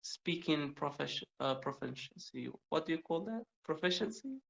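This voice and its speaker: A man's voice: fairly high pitch, lively intonation, normal speed, vocal fry, a bit bright. A man from Morocco with a Moroccan accent, an English learner asking questions in casual chat and searching for words. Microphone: online video call. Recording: muffled, lo-fi online call audio.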